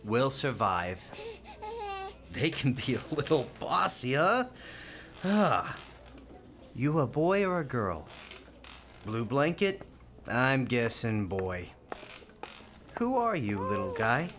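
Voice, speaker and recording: Cocky young New Yorker, swoopy pitch. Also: male voice, sing-song, takes it slowly, long pauses, fairly bright tone, a little hiss or hum. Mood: gentle, curious. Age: young adult. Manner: slangy, cocky, informal. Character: brash, streetwise, cheeky young male hospital volunteer. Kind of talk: radio play acting.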